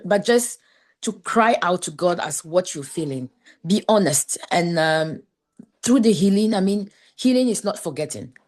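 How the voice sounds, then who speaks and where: An earnest woman from Ghana talks as a podcast guest, pausing often and restarting her sentences. Her voice sits fairly low, and the sound comes through a video call. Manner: earnest, halting with many fillers and restarts. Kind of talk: conversational interview.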